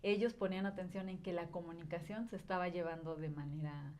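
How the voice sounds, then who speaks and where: A woman's voice: lowish voice, steady tone, a little fast, darkish tone. Woman explaining at length. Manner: explains at length, thinking aloud with filler words. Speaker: guest, language educator. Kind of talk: casual conversation.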